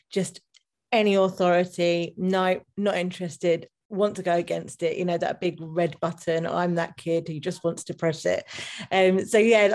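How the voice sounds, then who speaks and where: A woman's voice: fairly low pitch, darkish tone. A young woman, a student, talking candidly and chattily in a group discussion on a video call. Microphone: video call webcam.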